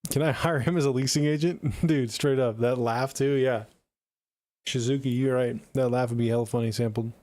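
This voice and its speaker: A man's voice: medium pitch, fairly even intonation, normal speed, dark tone, no echo. A man hosting a music review livestream in casual, slangy chat with frequent swearing.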